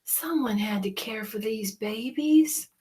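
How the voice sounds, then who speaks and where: A woman reading a children's story aloud in a dramatic, deliberate way, with hushed moments. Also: on the high side, breathy edge. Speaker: storybook reader.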